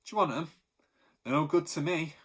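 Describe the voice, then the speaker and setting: A man's voice: a little high, a little fast, a bit bright, husky, voice pushed up. A man voicing a blunt, offhand butcher in a storybook reading. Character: gruff plain-spoken butcher, middle-aged man.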